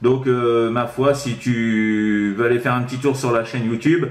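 A man's voice: medium pitch, fairly even intonation, moderate pace. Echoey man chatting on CB radio. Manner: repetitive, with frequent tag words. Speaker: amateur CB radio operator. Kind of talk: casual radio contact chat. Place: indoors at home, sixth-floor flat.

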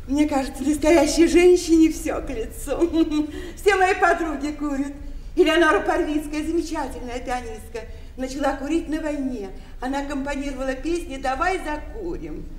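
An actress playing a sentimental, effusive elderly former performer, chatty and nostalgic, in a radio play. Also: female voice, sits high, darkish tone, lightly acted. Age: older. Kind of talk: Soviet-era radio play acting. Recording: roomy, distant, hissy old radio recording.

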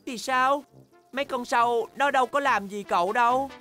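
A woman's voice: fairly high pitch, normal speed, a bit bright, soft background music, lightly acted. A woman voicing an eager, stubborn young boy bear in a children's cartoon dub, exclamatory and determined. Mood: bickering.

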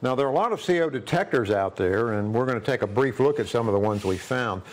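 A man in his sixties presenting a scripted product review in a workshop, brisk and plain-spoken with dry humor, on a lapel mic. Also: mid-range voice, expressive, very noisy. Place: indoor workshop studio.

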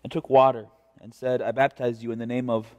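A young American man preaching a devotion as a pastor, in a measured, earnest and deliberate way. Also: medium pitch, level tone, darkish tone, dull audio. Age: young adult. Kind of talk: devotional preaching with scripture reading. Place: indoor church chancel. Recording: reverberant church, mic at a distance.

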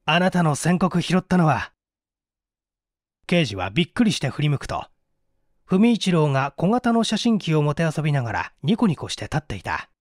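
A man reading a mystery story as a narrator, in a measured, dramatic storytelling style. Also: a little high, huge pitch swings, dry recording, studio quality. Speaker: audiobook narrator.

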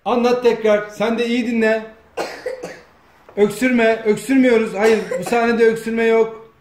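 A man's voice: extremely high pitch, little pitch movement, measured pace, darkish tone. A man, bossy and teasing as he coaches performers and feeds them lines. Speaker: off-camera director of a comedy sketch. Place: home dining room, indoors. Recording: echoey room, faint noise.

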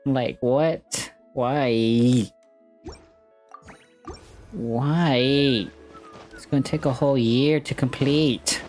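A man's voice: mid-range voice, steady tone, measured pace, hardly any pauses, a little mellow. A young man giving casual gameplay commentary as a streamer, with slangy, muttered reactions. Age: young adult. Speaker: gaming streamer. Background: game music.

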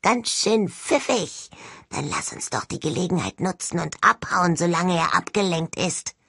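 A woman's voice: lowish voice, animated, measured pace, gravelly, fairly bright tone, husky, no echo, clean studio sound, full character voice. A woman voicing a sullen, sardonic male ghost of a skull in a jar for an audiobook, grumbling and mocking with indignation. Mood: sardonic, goading. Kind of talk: audiobook character voice.

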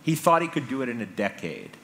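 A middle-aged man speaks to a conference from a stage, in a medium-pitched voice. He lays out his story in deliberate, emphatic phrases, pausing for effect. A headset mic picks him up with some noise behind. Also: big pitch swings, bright, forceful tone. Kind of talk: prepared public talk, storytelling lecture. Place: large conference hall stage. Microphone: headset microphone. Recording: stage talk with background noise.

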